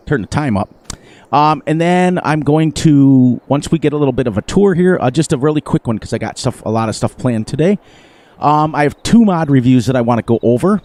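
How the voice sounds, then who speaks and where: A man streaming a farming game live, chatting casually with viewers, his voice a little hoarse. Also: medium pitch, warm tone. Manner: rambling, playful, sing-song repetitions. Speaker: gaming livestreamer. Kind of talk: casual live gameplay commentary.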